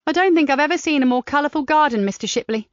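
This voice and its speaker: Woman doing a quick high-voiced lady investigator, polite, muffled dry audio. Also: animated, dry recording. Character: polite, probing woman police doctor. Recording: slightly dull dry recording.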